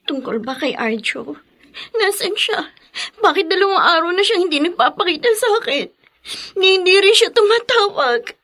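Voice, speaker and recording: A woman's voice: very high-pitched, expressive, moderate pace, fairly bright tone, heavily acted. An actress playing an anxious, devoted young woman in a radio drama, pleading and emotional. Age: young adult. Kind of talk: Filipino radio drama acting.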